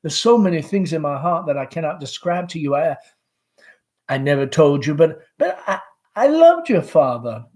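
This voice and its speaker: A woman's voice: low-pitched, big pitch swings, normal speed, mellow. A reader voicing an anxious, dramatic middle-aged mother in a fluttery, stammering, pleading manner, from a stage play. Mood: worried, pleading.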